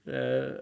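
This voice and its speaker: A tired man live streaming, rambling in a sarcastic, self-mocking way as he answers viewer comments at low energy. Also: a little mellow.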